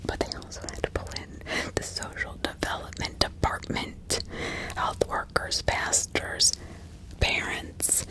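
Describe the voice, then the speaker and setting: A woman whispering ASMR true-crime commentary in a breathy, unvoiced, conversational way with emphatic repetition. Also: a little slow, sharp tone. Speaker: ASMR host.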